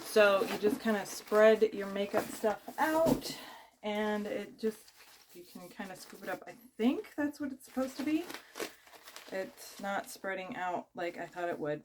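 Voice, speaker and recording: A woman's voice: medium pitch, lively intonation, measured pace, noisy background. A young woman with a cold chatting casually as she unboxes items, rambling with many fillers and restarts. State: has a cold, losing her voice. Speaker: vlogger.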